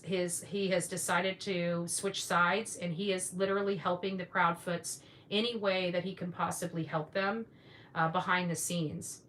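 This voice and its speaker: Sharp-voiced, blunt woman venting. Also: on the low side, slight background noise. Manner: blunt, combative, repeats phrases for emphasis. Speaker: online commentary host. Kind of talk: casual opinionated monologue to viewers.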